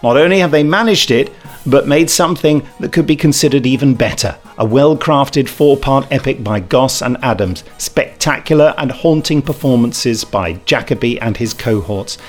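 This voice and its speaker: A man's voice: mid-range voice, moderate pace. Guy podcast host, medium voice, jokey chatty review reading, faint music behind. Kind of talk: casual chat and reading reviews aloud.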